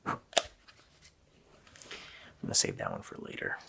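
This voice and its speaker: A man voicing a gloating, child-eating Christmas demon in a gravelly, breathy whisper, drawling casually and menacingly in an ASMR roleplay. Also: unhurried, pushed and bright, slight background noise. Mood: ghoulish, playful.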